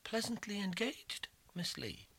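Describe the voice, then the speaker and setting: A man voicing a pompous young male lawyer in a spoken story, with a high, affected, prissy delivery. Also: big pitch swings, unhurried, a bit bright, husky, exaggerated voice, low-quality recording. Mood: haughty.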